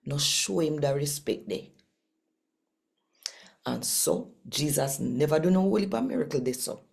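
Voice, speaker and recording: Very deep, slow Jamaican woman reading scripture. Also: creaky, warm tone. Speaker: channel host and scripture reader. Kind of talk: scripture reading and channel introduction in a devotional video.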